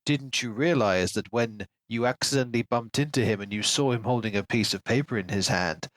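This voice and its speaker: A man's voice: medium pitch, fairly quick, fairly bright tone, no echo, lightly acted. A man voicing smug fantasy villains who condescendingly explain their grand plan, as part of a book discussion.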